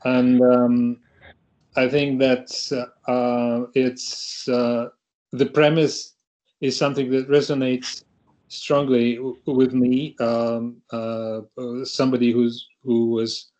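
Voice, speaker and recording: A man's voice: medium pitch, level tone, a little mellow, poor audio. A middle-aged man from Russia, speaking hesitantly on a panel over a video call. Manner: hesitant, halting, many restarts. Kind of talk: online panel talk.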